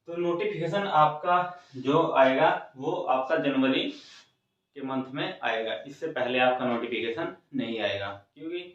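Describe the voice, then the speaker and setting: A man's voice: mid-range voice, a bit bright. Echoey, distant man explaining to students. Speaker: exam coaching host. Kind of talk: informal explainer of exam news for students. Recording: very echoey room, distant mic, dull sound.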